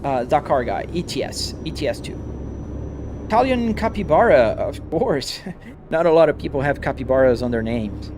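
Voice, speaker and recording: A man's voice: mid-range voice, lively intonation, normal speed, background noise. A man from Brazil with a Brazilian-American accent, streaming live from his home simulator rig and chatting casually with viewers, answering comments on the fly with frequent restarts. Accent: Brazilian Portuguese mixed with American English.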